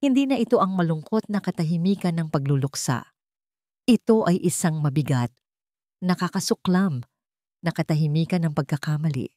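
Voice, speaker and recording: Filipino-accented woman, audio drama narrator, slightly low voice, dramatic scripted storytelling, very dry studio-like sound. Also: lively intonation, normal speed, mellow, dry recording.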